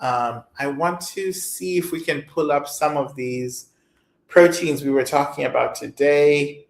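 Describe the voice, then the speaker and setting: A middle-aged man chatting casually as a livestream host, animated, encouraging and quick to exclaim, on a video call. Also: fairly high pitch, creaky. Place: indoor room, video call. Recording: echoey room on a video call.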